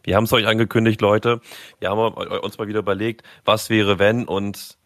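A man's voice: fairly low pitch, level tone, fairly quick, no echo, clean studio sound. A man co-hosting a podcast, talking eagerly and slangily in casual chat and prompting his partner. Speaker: podcast co-host.

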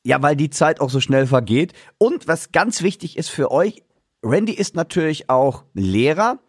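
A man's voice: a little high, very animated, normal speed, husky, dry recording, studio quality. A man hosting a casual podcast interview, chatty and loose, with many fillers and restarts. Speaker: podcast host and drummer.

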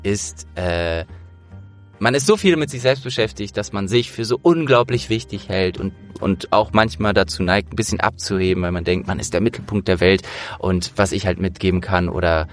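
A man's voice: low-pitched, normal speed, faint music behind. A man hosting a podcast in a casual, chatty way, feeling for his words as he goes. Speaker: podcast host and producer.

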